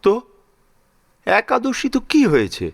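A man's voice: sits high, huge pitch swings, measured pace, creaky, exaggerated voice. Man acting an emphatic, swooping older Bengali father-in-law. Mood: surprised, questioning. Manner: emphatic, emotional, repeats phrases. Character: protective, grieving older Bengali father-in-law, indignant at tradition. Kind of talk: Bengali audio drama acting.

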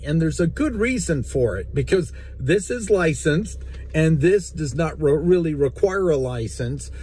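A middle-aged man with a husky voice, explaining things casually to camera in a conversational way inside a parked car. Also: fairly high pitch, mellow. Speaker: host, ham radio operator.

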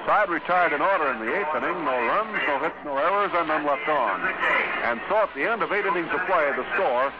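An American man calling baseball play-by-play in 1950s radio style, in terse, unhurried phrases between pitches. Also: lively intonation. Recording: noisy, dull 1950s radio broadcast.

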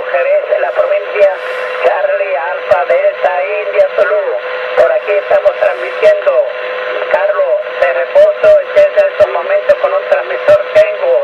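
A man from southern Spain with an Andalusian accent, making radio contact talk and spelling phonetically over a CB radio transmission. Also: very high voice. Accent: Andalusian Spanish. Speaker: amateur CB radio operator. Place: received over a CB radio speaker. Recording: noisy, dull CB radio reception with static.

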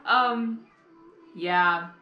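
A young adult woman giving casual, chatty, expressive live reaction commentary. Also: lowish voice, very slow, highs cut off. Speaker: reaction video host. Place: small bedroom, indoors. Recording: echoey room, faint show audio behind.

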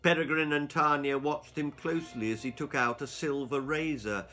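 A man in his sixties narrating a children's story in an expressive, deliberate, theatrical way. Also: fairly high pitch, faint music behind. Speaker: author reading his own stories. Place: small book-lined room indoors.

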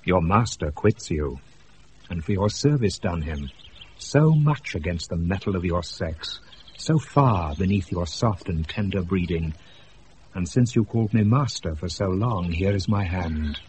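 An actor playing a noble, romantic duke in a British radio Shakespeare, measured and lyrical, on a noisy off-air recording. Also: male voice, deep voice, lively intonation, darkish tone. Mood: stately, tender. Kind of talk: 1980s radio Shakespeare acting.

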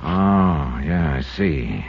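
An actor playing a brisk, wry insurance investigator who also narrates, in a 1950s radio detective drama. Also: male voice, a bass voice, expressive, vocal fry, slightly rough, exaggerated voice. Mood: curious, probing. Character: brisk, confident male insurance investigator hero. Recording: dull 1950s radio recording with music.

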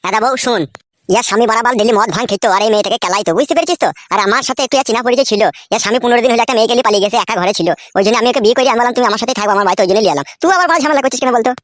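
A man's voice: very high voice, racing, a bit bright, no echo, clean studio sound. A man voicing a brazen, irritable village husband in a comedy cartoon, bickering crudely in a rural West Bengal accent. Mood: blustering. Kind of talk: comedy cartoon dub, quarrelling.

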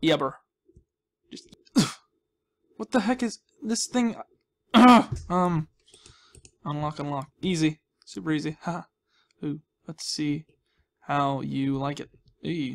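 Young male game host, slightly high voice with vocal fry, casual, playful, muttering his reactions. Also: slow, long pauses. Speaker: gaming host. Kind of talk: casual Let's Play commentary.

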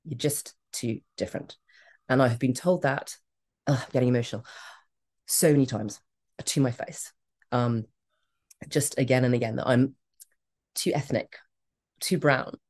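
A woman with a British accent speaks in a remarkably deep, low register for a woman. She is middle-aged and talks frankly and with emphasis, laughing easily as a podcast guest. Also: normal speed, long pauses, darkish tone. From Australia. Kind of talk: candid conversational interview.

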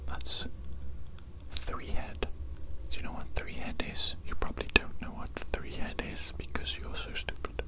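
A breathy, whispering evil mad doctor, acted out by a young man for an ASMR roleplay. He is smug and condescending toward his prisoner, speaking slowly and deliberately right up at the microphone and circling back over his own phrases. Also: natural voice. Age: young adult. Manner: slow, deliberate, repeats phrases. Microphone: close microphone.

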